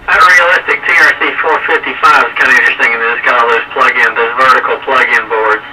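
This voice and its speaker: A man's voice: medium pitch, fairly even intonation, quick pace, bright, forceful tone. A man chatting casually about his radio hobby, heard over a CB radio. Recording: distant noisy CB radio speaker.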